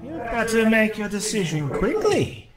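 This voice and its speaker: A woman playing a weary, reluctant telepathic adviser in a visual novel, with dramatic, drawn-out phrasing. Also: a little high, very animated. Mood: urgent warning. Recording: loud music under the voice. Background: loud music.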